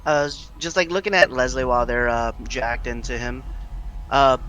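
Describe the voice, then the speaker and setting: A man's voice: mid-range voice, normal speed, fairly bright tone, low-quality recording. A man playing a tabletop roleplaying game, asking questions in a loose, casual way. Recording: faint background noise.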